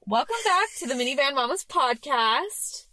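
A woman in her thirties with a deep, raspy voice, co-hosting a casual podcast from a parked minivan, giggly and joking. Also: lively intonation, pushed and bright, low-quality recording. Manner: giggly, joking, quick asides. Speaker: podcast co-host. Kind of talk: casual chat.

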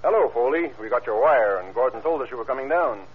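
Muffled vintage radio sound frames a plain-spoken male police sergeant. His medium-pitched voice is obliging and matter-of-fact, in old crime-serial style. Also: animated, fast talker, breathy edge, soft background music. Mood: hearty. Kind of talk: 1940s-50s radio crime drama acting. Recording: dull vintage radio recording.

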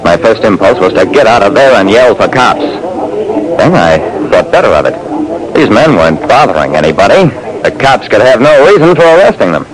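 A man's voice: on the low side, sing-song, moderate pace, crowd noise, low-quality recording. A man narrating as a private detective in old-time radio style, hard-boiled and wry.

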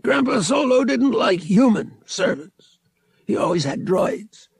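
A man's voice: very high-pitched, expressive, measured pace, creaky, husky, no room sound, voice pushed up, exaggerated voice. A man narrating an audiobook, voicing a cruel young male cousin who taunts with mocking emphasis and sadistic glee. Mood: strained, insistent.

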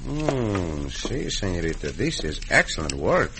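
A cool, shrewd Mexican gunfighter hero of a radio western. The voice sits low, with careful, formal phrasing. It comes through a clearly noisy vintage recording. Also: male voice, very animated, full character voice. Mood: smooth, assured. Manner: formal, deliberate, few contractions. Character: shrewd, calm Mexican cowboy adventurer hero. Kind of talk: 1950s radio western acting.

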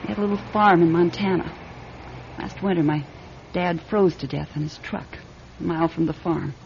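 An actress playing a tough, streetwise young hostess who talks bluntly in slang, in an old-time radio detective drama. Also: female voice, lowish voice, fairly even intonation, normal speed, creaky, darkish tone, slight background noise. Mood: bitter, hurt. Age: young adult. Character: tough, streetwise young nightclub hostess, guarded but wounded. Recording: dull radio recording, music behind.

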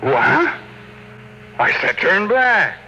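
An actor playing a domineering, ambitious rich tycoon, pompous and commanding, in old American radio drama style. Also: male voice, high-pitched, big pitch swings, extremely slow, vocal fry, sharp tone, full character voice, rough recording. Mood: angry, alarmed. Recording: old radio recording with faint hum.

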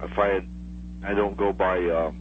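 A middle-aged man chats casually as a radio caller, his voice on the low side. He is hesitant and rambles. The phone sound is dull, with faint hum and noise. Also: little pitch movement, normal speed. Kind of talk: casual chat. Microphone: telephone.

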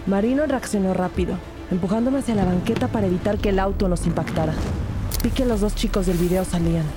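A woman's voice: medium pitch, fast talker, close to an everyday voice. An actress playing an earnest, determined female police investigator who narrates a crime audio drama in the first person. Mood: tense narration. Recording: studio drama under loud music bed.

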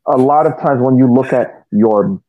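A man co-hosting a podcast, giving casual sports analysis in halting, reflective short phrases over a narrow, thin connection. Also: medium pitch, dry recording. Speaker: podcast co-host.

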